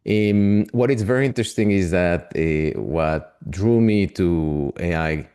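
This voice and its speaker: A man's voice: deep voice, measured pace, dark tone, clean tone. A man with a Latin American Spanish accent, speaking in a webinar interview as an AI consultant, friendly and hesitant with many fillers. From Argentina.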